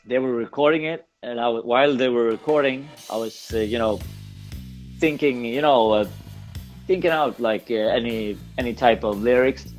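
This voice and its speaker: A Swedish man gives a casual interview in accented English at a middling pitch. He is halting, doubling back on words and pausing to find the right one. Guitar music plays faintly behind him. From Sweden. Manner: halting, repeats words, searches for words. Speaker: podcast guest, punk band singer.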